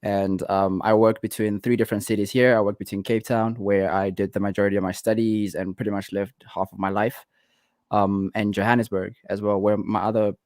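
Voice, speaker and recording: Low-voiced South African man, relaxed. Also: fairly quick, no echo. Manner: relaxed, many fillers and restarts. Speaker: guest artist. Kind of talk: casual interview talk.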